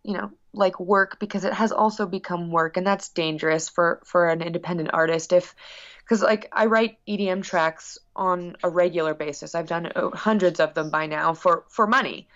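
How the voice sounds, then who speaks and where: A woman's voice: lowish voice, moderate pace, fairly bright tone, dull audio. A woman musician being interviewed casually, talking in a long-winded, rambling, earnest way. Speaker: guest musician.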